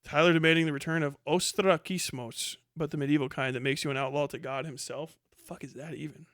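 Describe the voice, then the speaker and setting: Bright, punchy male streamer, profane and animated. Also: a little high, quick pace, no room sound, clean studio sound. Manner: profane, slangy; animated, sarcastic, voices imagined quotes. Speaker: live streamer. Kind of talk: casual livestream commentary reacting to chat.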